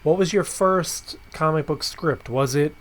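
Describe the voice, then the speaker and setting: A man hosting a podcast, chatting casually and haltingly as he rephrases his way through questions and remarks. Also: on the high side. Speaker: podcast host interviewing a writer. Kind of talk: casual conversational interview.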